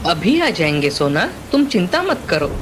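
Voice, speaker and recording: A woman's voice: on the low side, sing-song, normal speed, background noise. A woman voicing an anxious, caring young boy, a protective big brother, in a dramatized moral story. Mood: reassuring.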